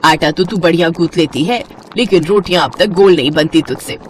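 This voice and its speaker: A woman's voice: fairly low pitch, brisk, slight background noise, close to an everyday voice. A woman voicing a practical middle-aged mother in a storybook tale, chiding and nagging but fond. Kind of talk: animated Hindi moral story dialogue.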